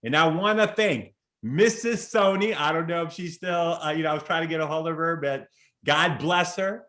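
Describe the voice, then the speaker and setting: A man's voice: on the high side, moderate pace, fairly bright tone. A man in his forties from Chicago giving a conference keynote over a video call, talking in an earnest, conversational way with restarts and asides. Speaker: keynote speaker.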